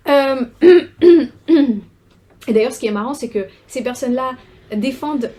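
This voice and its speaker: A young adult woman researcher giving an academic seminar talk, measured and often pausing on fillers and repeated words. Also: high-pitched, dark tone.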